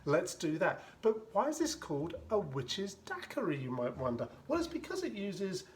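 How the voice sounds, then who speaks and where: A man's voice: sits high, wildly swooping pitch, moderate pace, a little hiss or hum. A man casually explaining how he makes a cocktail at home, chatting as he mixes, with rambling asides and dry humor. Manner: narrates while mixing and shaking drinks. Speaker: home bartender making a cocktail.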